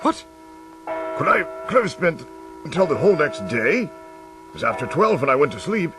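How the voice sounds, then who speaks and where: A man's voice: fairly high pitch, very animated, normal speed, over music. A man in a live radio drama playing a frightened old miser, stammering and pleading melodramatically. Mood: bewildered.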